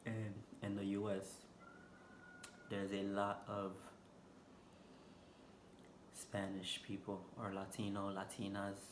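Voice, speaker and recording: Slow, flat-voiced Haitian man. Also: lowish voice. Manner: slow, halting, many fillers. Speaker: vlogger. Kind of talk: unscripted casual vlog talk. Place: indoor room, seated on a stool. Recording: echoey room with background noise.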